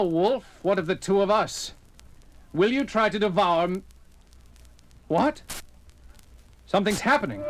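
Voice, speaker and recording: A man's voice: high-pitched, fairly bright tone, exaggerated voice. An actor playing an anguished, earnest male scientist in a melodramatic 1970s horror audio drama. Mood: astonished. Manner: melodramatic, exclaiming.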